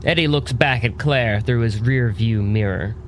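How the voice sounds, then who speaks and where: A man narrating a scripted audio drama in a measured, descriptive way. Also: mid-range voice, a little hiss or hum.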